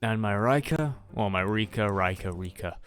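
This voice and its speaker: A man's voice: fairly low pitch, moderate pace, a bit bright, smooth, soft background music. A man talking casually through his moves as a player in a roleplay card duel. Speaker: card game duelist player.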